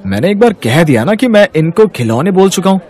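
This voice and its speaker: A man's voice: high voice, sing-song, a little fast, darkish tone, some chatter behind. A man playing a kind young customer who firmly defends the poor, righteous in manner, in a moral-story cartoon.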